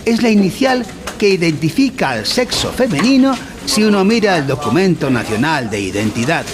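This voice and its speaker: A man playing a pompous, didactic lecturer in a satirical educational radio drama, deadpan. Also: a little high, moderate pace, music in the background. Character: pompous male lecturer of an absurd mock educational course.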